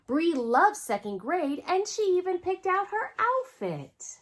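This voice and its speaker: A woman's voice: very high-pitched, sing-song, slow. A woman hosting a children's storytime, reading a picture book aloud expressively and speaking directly to young listeners. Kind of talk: storytime read-aloud with asides to young viewers.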